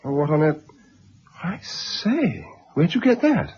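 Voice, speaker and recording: An actor playing an earnest, stubborn teenage schoolboy brother in a radio drama, with a boyish, hesitant but determined manner. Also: male voice, on the high side, sing-song, takes it slowly, darkish tone, breathy edge, heavily acted. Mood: astonished. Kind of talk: period radio drama acting. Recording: dull old radio recording, faint noise.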